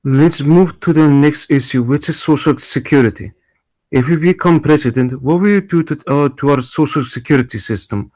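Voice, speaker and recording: A man's voice: a little high, normal speed, darkish tone, lightly acted. Formal male debate moderator read by a man. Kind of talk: amateur table read of a stage play.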